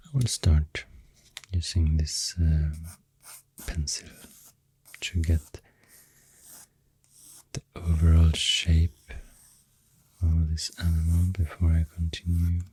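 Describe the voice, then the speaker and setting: A man with a Swedish accent rambles in soft-spoken, near-whispered ASMR, gentle and unhurried, close to the microphone. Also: monotone, no room sound, poor audio. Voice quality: breathy, near-whispered deep voice. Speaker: ASMR video host.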